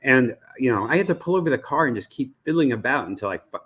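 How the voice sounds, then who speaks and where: An American man speaking as an interview guest, giving conversational expert commentary in a measured way, often halting to search for the right word. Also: medium pitch, expressive, fairly bright tone, breathy edge. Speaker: interview guest, radio research executive.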